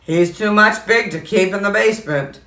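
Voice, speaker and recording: A woman voicing a calm grown-up policeman in a storybook, recorded in an echoey room from a distance. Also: medium pitch, normal speed, close to an everyday voice. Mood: matter-of-fact.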